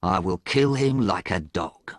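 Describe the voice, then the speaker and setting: A man voicing a protective young man in a storybook reading, making a slow, grim threat. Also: low-pitched, expressive, very noisy. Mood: grim threatening.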